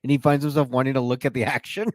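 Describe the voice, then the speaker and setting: A man's voice: mid-range voice, flat delivery, rapid-fire, no room sound. A man co-hosting a casual podcast, chatty and playful, reading out listener comments. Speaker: podcast co-host.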